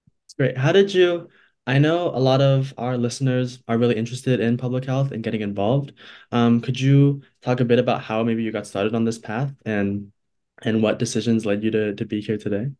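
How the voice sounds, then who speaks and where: A man's voice: medium pitch, fairly even intonation, normal speed, warm tone. A young man, a university student hosting a podcast, reading an intro and then asking eager, hedging questions full of "like". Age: young adult.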